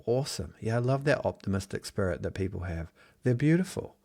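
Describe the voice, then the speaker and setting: A man's voice: fairly low pitch, animated, brisk, creaky, darkish tone. A man from New Zealand leading a live online workshop, upbeat and encouraging as he reads out and answers chat replies, heard over a video call. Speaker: online business trainer. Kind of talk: live Zoom workshop teaching.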